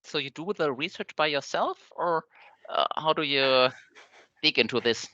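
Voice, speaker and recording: A man hosting an interview, asking his guest questions in a polite, deliberate way. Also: a little high, bright, forceful tone, dry recording. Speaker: host, interviewer. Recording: dry lo-fi sound.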